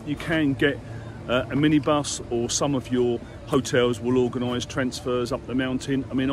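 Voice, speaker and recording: A man's voice: mid-range voice, noisy background. A middle-aged man talking to a handheld camera outdoors at a ski resort, a chatty, upbeat guide giving facts and prices. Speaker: travel vlogger. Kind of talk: casual travel vlog to camera. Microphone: action camera held at arm's length.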